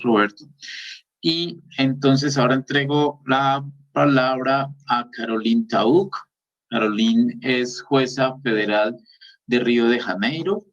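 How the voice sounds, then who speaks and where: Low-voiced male moderator, muffled audio. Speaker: panel moderator. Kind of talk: formal introductions.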